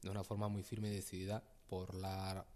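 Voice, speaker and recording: A man's voice: deep voice, completely flat delivery, normal speed, a little mellow. A middle-aged man from Castilla-La Mancha, a company regional manager, explaining his firm in a casual interview and often repeating words as he searches for them. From Castilla-La Mancha, Spain. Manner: hesitant, repeats words often, laughs easily.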